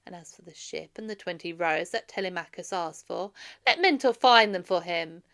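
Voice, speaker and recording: A woman's voice: fairly low pitch, normal speed. A woman voicing an arrogant, scornful male suitor in a storybook, defiant and taunting in manner. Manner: forceful, taunting.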